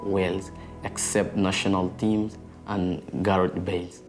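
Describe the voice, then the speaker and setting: A man's voice: low-pitched, flat delivery, a little slow, over music. A young man from Guinea-Bissau with a West African accent, giving a personal interview as a student. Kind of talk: interview testimony.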